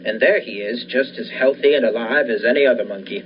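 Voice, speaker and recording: A man's voice: medium pitch, brisk, lightly acted. A young man playing an obsessive, defiant research scientist in the style of a 1940s radio thriller, earnest and insistent. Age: young adult. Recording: dull lo-fi recording with faint noise.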